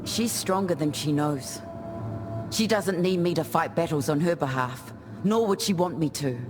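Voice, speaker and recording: A man's voice: fairly high pitch, moderate pace, natural voice. Sincere warrior chieftain, light-voiced man acting. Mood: proud, sincere. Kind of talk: video game character dialogue. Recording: loud music behind the voice.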